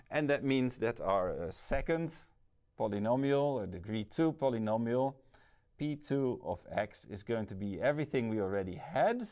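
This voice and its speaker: A man with a Dutch accent walks through math at the board, his pitch rising and falling in a lively way. He thinks out loud, stops to correct himself, and fills his pauses with "uh". He sounds like he is picked up on a clip-on mic. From Netherlands. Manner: thinks aloud, self-correcting, many fillers. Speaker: math lecturer. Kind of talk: explaining at a board.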